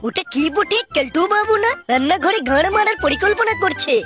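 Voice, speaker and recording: A performer voicing a squeaky cartoon servant in a crude comic parody dub. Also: animated, fairly quick, music in the background. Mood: suspicious.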